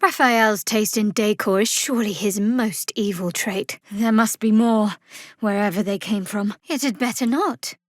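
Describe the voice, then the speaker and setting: A woman playing a guarded, sardonic young cleric of a dark goddess in a fantasy video game, with a dry, wry, clipped delivery. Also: mid-range voice, unhurried, no room sound, lightly acted.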